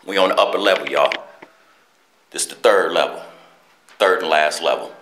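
A man, filming a walking-tour vlog through an abandoned building, talking indignantly and dropping to hushed asides. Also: low voice, normal speed, a little hiss or hum. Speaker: street vlogger. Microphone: handheld camera.

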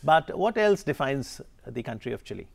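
A man in his thirties hosts a morning TV interview from a studio set. He asks polite, measured questions, pausing often with a drawn-out "uh". His voice is picked up by a lapel mic. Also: medium pitch, big pitch swings, creaky. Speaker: TV morning show host.

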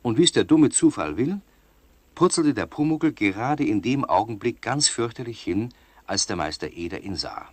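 An actor narrating a children's radio play as the storyteller. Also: male voice, on the low side, very animated, moderate pace, a little mellow, no echo. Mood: storytelling.

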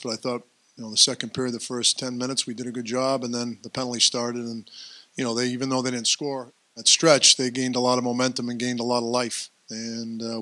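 A middle-aged man as head coach at a postgame press conference, speaking at a table microphone in a press room, measured and dropping off at phrase ends, with many fillers. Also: medium pitch, bright, forceful tone, dry recording. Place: press room with sponsor backdrop.

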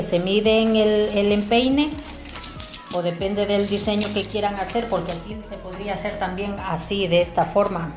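Woman explaining a craft in a roomy space. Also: mid-range voice. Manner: explanatory, addressing viewers directly. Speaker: craft tutorial presenter. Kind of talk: informal hands-on tutorial narration. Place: small domestic room indoors. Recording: roomy echo, music behind.

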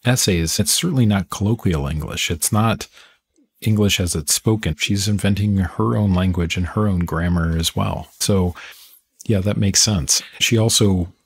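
A man with a low, husky voice hosts a literary interview podcast. He talks conversationally in a dry, close recording. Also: moderate pace, no room sound.

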